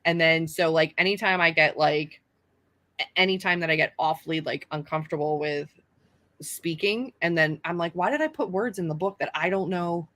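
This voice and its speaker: A woman's voice: deep voice, normal speed, bright, forceful tone. A young adult woman, an author hosting a casual live stream, chatting in a self-deprecating way with lots of fillers.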